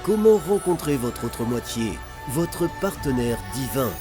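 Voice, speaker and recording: A man's voice: medium pitch, animated, a little slow. A man reading scripted promotional narration as a trailer announcer. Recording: music behind the voice. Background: clear music.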